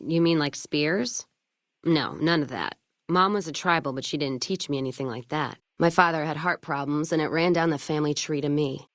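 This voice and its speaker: A woman's voice: low voice, dry recording, studio quality, natural voice. A woman playing a tough, hard-drinking caravan trader in a video game, blunt and wry, with folksy, clipped talk. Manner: plain-spoken, folksy drawl of clipped sentences, swearing. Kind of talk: video game character voice acting.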